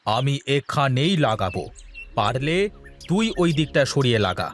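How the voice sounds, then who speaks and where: A man's voice: mid-range voice, very animated, moderate pace, faint music behind, close to an everyday voice. A man voicing a proud, stubborn elder brother and village husband in cartoon dialogue. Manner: stern, obstinate.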